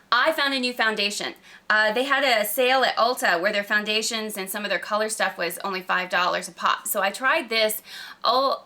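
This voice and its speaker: Bright, slightly croaky woman chatting about favorites. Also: a little high. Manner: chatty, emphatic, gushing. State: slightly croaky from recovering from a cold. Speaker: beauty vlogger. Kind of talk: casual product review chat to camera.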